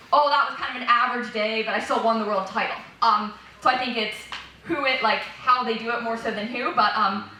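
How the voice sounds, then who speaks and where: A woman's voice: fairly high pitch, bright, forceful tone, heavy reverb, distant mic, a little hiss or hum, low-quality recording. A woman giving a casual interview answer in a rambling way, with lots of "like" and restarts. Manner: rambling, many fillers and restarts.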